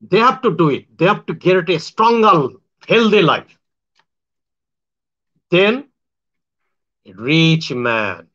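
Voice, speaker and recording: Man, Bangladeshi accent, high-pitched voice, halting and repetitive, muffled lo-fi audio. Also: sing-song, sharp tone. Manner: halting, repetitive phrasing with frequent restarts. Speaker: astrologer and self-styled spiritual speaker. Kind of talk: monologue to viewers.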